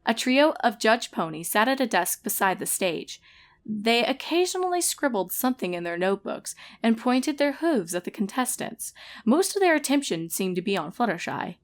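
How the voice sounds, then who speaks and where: A woman reading scripted story narration in a measured, expressive storytelling manner. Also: a little high. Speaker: fan fiction reader and narrator.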